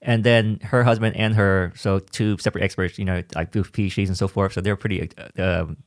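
Stammering low-voiced host from Vietnam. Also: male voice, fairly even intonation, a little fast, dry recording, studio quality. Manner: stammering, repeats words while thinking. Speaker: podcast host. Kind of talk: conversational interview.

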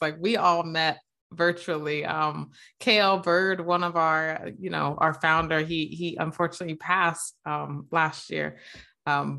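Bright-voiced, effusive woman. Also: on the low side. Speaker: panelist. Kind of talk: casual panel discussion.

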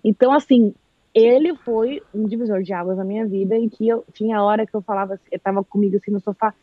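A husky, fairly high-voiced woman from Brazil talks on a live chat, animated and lively as she tells her story and acts out quoted speech. The sound is dry and a little dull and lo-fi. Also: fast, no room sound.

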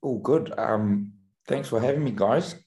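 A man, telling his story as a podcast guest in a candid, reflective way with many pauses and restarts. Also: fairly low pitch, dark tone. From New Zealand. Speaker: podcast guest, brand founder. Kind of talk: casual interview storytelling.

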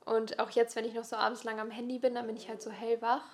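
A woman's voice: fairly high pitch, monotone, fast. A young woman co-hosting a podcast in casual chat with a friend, colloquial and emphatic in the way she talks. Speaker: podcast co-host.

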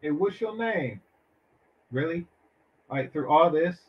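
A man riffs sarcastically on a dating show he is watching, mocking the people on it. His voice sits fairly high. The audio is slightly muffled. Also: animated, slow pace, a little hiss or hum. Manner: mocking, mimics others. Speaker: reviewer reacting to a dating show. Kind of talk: sarcastic commentary.

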